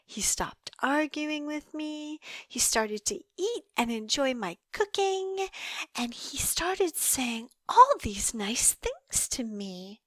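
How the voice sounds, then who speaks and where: A woman playing an obsessive, lovesick young yandere in an audio roleplay, coy and halting, slipping between hushed asides and emotional swells. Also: sits high, no echo, exaggerated voice. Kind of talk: audio roleplay monologue, intimate dramatic acting.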